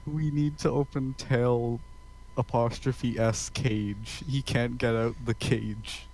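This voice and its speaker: A man reading character lines aloud in a halting, amused, everyday way. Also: medium pitch, fairly even intonation, gravelly, darkish tone, low-quality recording. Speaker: co-reader of character dialogue.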